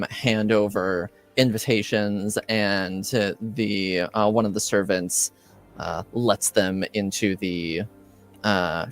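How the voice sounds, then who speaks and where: A man with a smooth, clear voice narrates a tabletop fantasy game as its game master. He speaks very slowly and deliberately, feeling his way through each description. Music plays behind him. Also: fairly low pitch. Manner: halting, deliberate delivery.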